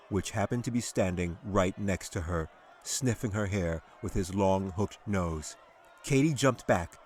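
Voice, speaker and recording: A man narrating a fantasy audiobook as its author, in a measured, dramatic storytelling manner. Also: deep voice. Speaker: author reading own audiobook.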